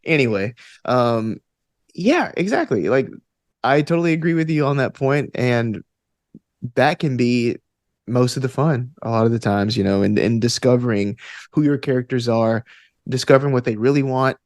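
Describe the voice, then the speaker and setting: A man's voice: medium pitch, animated, moderate pace, darkish tone, no echo, studio quality. A man speaking as a podcast guest in casual, reflective conversation, earnest and encouraging, often repeating phrases for emphasis. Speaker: podcast guest, actor and game master.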